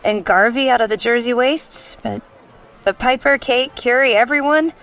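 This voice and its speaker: A woman's voice: on the high side, normal speed, fairly bright tone. A woman playing a chatty, caring detective's secretary in a radio drama. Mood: chatty, nudging.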